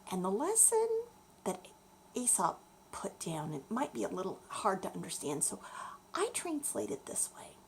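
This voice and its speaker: A woman telling a bedtime story to children, expressive and deliberate, explaining things as she goes. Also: medium pitch, a little hiss or hum.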